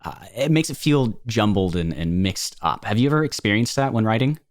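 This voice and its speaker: Young man asking measured questions. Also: lowish voice, expressive, dry recording, studio quality. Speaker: podcast host. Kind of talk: interview questions.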